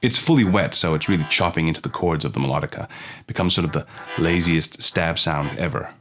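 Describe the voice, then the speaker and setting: Male demo host, low register, casual narration, prominent music underneath. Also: brisk. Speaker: effects pedal demo host. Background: electronic music and instrument sounds.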